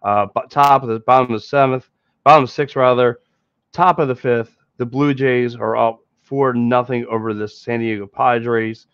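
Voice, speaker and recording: A man's voice: mid-range voice, fairly even intonation, moderate pace, clear, resonant tone, no echo. A man in his thirties with a Philadelphia accent, hosting a casual postgame sports podcast and rambling with frequent repeats and restarts. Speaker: podcast host. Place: small indoor room in front of a green screen. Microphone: headset mic. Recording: dull lo-fi dry sound.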